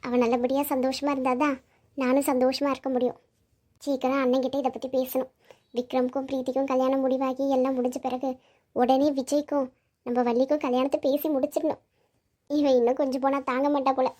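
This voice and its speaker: A woman's voice: sits high, expressive, brisk. Woman acting a doting, coaxing middle-aged mother. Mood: fond, determined. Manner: coaxing, soothing, colloquial. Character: doting middle-aged mother, protective, scheming her daughter's marriage. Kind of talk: melodramatic family audio drama acting. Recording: dull lo-fi audio.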